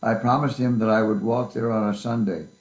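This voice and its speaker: A man's voice: lowish voice, fairly even intonation, brisk, roomy sound, lightly acted. A man reading the part of a mild, grieving poor clerk and father in a Victorian story, earnest and affectionate. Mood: tender. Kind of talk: dramatic reading of a classic story.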